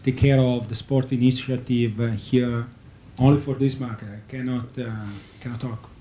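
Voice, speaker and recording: A man's voice: medium pitch, fairly even intonation, moderate pace, warm tone, a little hiss or hum. A man, hesitant with many fillers, introducing himself as a panelist. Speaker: panelist, company executive. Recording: echoey room, faint ambience.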